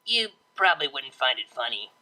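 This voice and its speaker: A cocky young unicorn DJ from a pony story, acted out by a narrator, bright in tone and lively in pitch. She drawls casually and teases with sly, playful confidence. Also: moderate pace, low-quality recording. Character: cocky, playful slacker unicorn mare DJ, teasing.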